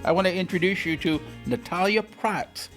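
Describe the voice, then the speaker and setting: A man's voice: sits high, moderate pace, fairly bright tone, faint music behind. An older man hosting a talk show interview from a TV studio, friendly and conversational. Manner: friendly, conversational, leading questions. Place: TV studio set. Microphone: studio boom mic.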